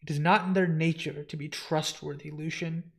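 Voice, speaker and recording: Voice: medium pitch, fairly even intonation, normal speed, natural voice. A comic reader voicing a solemn, brooding male ruler of dreams who speaks in stately, formal phrases. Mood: grave, troubled.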